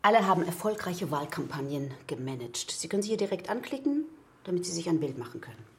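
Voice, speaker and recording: An actress playing an efficient, polite political assistant in a naturalistic radio drama, businesslike and deferential. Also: female voice, fairly low pitch, big pitch swings, quick pace. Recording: office noise with keyboard clicks.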